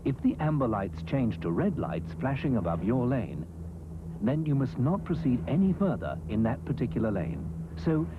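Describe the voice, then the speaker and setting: A man giving measured, explanatory scripted narration for a public information film, on an old soundtrack. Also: mid-range voice, sing-song, dull audio, background noise.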